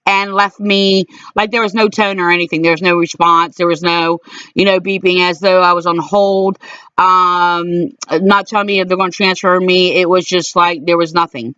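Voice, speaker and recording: Middle-aged woman, aggrieved, stop-start delivery, smooth voice, dry close lo-fi sound. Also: lowish voice, steady tone, sharp tone, dry recording. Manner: halting and aggrieved, many fillers and restarts. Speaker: complainant recounting calls to police. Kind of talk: personal recorded monologue. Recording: dull lo-fi dry recording.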